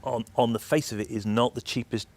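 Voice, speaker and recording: A man with a British accent giving measured, explanatory answers as an executive being interviewed. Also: mid-range voice, steady tone, a little mellow. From United Kingdom. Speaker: energy system operator executive, interview guest.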